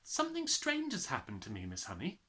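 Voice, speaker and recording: A man's voice: medium pitch, wildly swooping pitch, brisk, bright, forceful tone. A man voicing an earnest, clever little schoolgirl in a children's storybook. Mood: earnest, puzzled.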